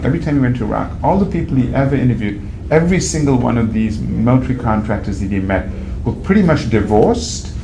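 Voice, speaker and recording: A man with a lowish voice tells stories to a panel audience, restarting sentences as he goes. The recording carries a steady noise floor. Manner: conversational, anecdotal, frequent restarts. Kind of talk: panel talk. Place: event venue. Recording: noisy live event recording.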